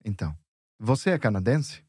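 A man's voice: on the low side, big pitch swings, fast, a little mellow, slightly rough, no room sound. Patient male language teacher, dry studio sound. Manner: patient, explains step by step with examples. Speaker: Portuguese teacher. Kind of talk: language lesson dialogue.

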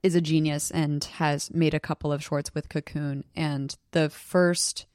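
A young woman from Southeast Alaska, a podcast guest chatting casually and excitedly, often laughing as she tells stories. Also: low voice, a little slow, no room sound, studio quality. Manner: excited, storytelling, breaks into laughter. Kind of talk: casual chat.

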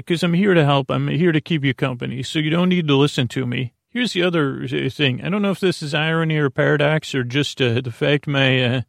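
A man with a creaky voice hosting a sleep podcast, delivering a meandering, halting bedtime monologue that trails off into tangents. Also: fairly high pitch, no room sound, clean studio sound. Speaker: podcast host.

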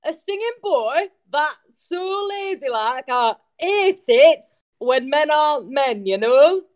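Woman as blunt bigoted bully, northern English accent, swooping high voice, demanding, improv comedy. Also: animated, slow pace. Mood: sneering. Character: brash, bigoted, threatening apple-grabbing Keith.

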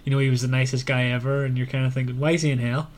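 A man's voice: medium pitch, little pitch movement, racing, slight background noise. A man hosting a podcast, discussing a book in an informal, loosely phrased conversational way. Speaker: podcast host.

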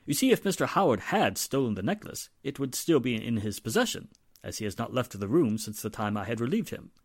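A man's voice: medium pitch, very animated, slightly rough, dry recording, studio quality. An actor playing a haughty, formal, wealthy father in a 1940s-style radio mystery. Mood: smug, reasoning. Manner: formal, pompous, measured. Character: polished, haughty wealthy father, secretly guilty.

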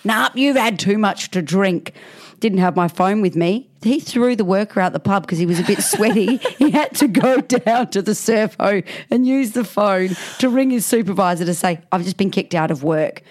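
A woman's voice: medium pitch, expressive, normal speed, darkish tone. A woman co-hosting a chat podcast, reading out stories with frequent laughter and acting out bouncers. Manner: laughs often, acts out bouncers in gruff quotes. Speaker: podcast co-host.

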